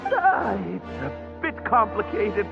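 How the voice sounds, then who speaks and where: A man's voice: high voice, big pitch swings, slow, creaky, heavily acted. A man voicing a meek, flustered, polite game character who speaks in a hesitant, pleading way. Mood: awkward, flustered. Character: meek, flustered, polite male prisoner hiding in a box. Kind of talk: video game voice acting. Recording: dull, muffled sound, faint music. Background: faint music.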